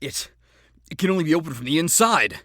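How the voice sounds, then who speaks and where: A man's voice: a little high, big pitch swings, brisk, fairly bright tone, dry recording, clean studio sound. A man playing an urgent young swordsman in a fantasy game audiobook. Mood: urgent warning. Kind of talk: audiobook character acting.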